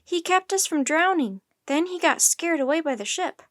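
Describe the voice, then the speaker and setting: A high-pitched, tender-hearted little girl from a storybook, acted out by a woman narrator. She pleads and protests with emphatic, indignant force. The recording is close and dry. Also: expressive, moderate pace, a bit bright, dry recording. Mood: earnest.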